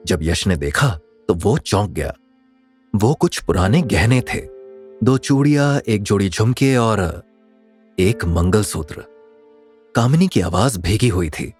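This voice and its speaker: A man's voice: on the low side, darkish tone. A man narrating a scripted audio drama in a dramatic storytelling style.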